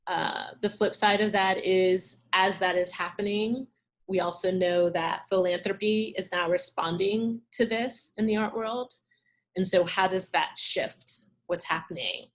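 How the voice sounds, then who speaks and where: A woman curator answering questions in a talk, thoughtful and measured, pausing often over a video call. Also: on the low side, steady tone, rough recording. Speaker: arts curator, guest speaker.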